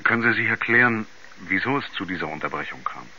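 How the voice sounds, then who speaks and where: An actor playing a sober reporter, narrating in a measured, factual documentary manner for a radio play. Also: male voice, low voice, lively intonation, sharp tone, slight background noise, heavily acted. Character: sober male reporter narrating a mysterious space incident. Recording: dull vintage radio recording, music underneath.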